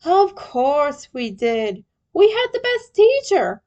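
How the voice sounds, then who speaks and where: A woman acts out a young male turtle hero from a fan story, wounded but still teasing. The voice is high and emotional. He talks haltingly, with a sentimental streak. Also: big pitch swings, a little mellow, dull audio, exaggerated voice.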